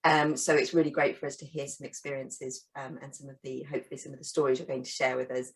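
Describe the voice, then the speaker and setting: A woman's voice: a bass voice, flat delivery, quick pace, poor audio. Encouraging woman podcast host. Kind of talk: interviewer questions.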